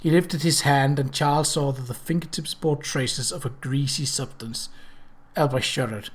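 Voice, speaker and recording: A man narrates a story from a script in a middle-pitched voice. His reading is expressive and dramatic, in the manner of an audiobook. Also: normal speed, husky. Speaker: audiobook reader.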